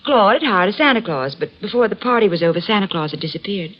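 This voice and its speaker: An actress playing a poised, coolly flirtatious wealthy wife in 1950s radio drama style. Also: female voice, mid-range voice, lively intonation, quick pace, lightly acted. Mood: explaining. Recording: dull old radio recording.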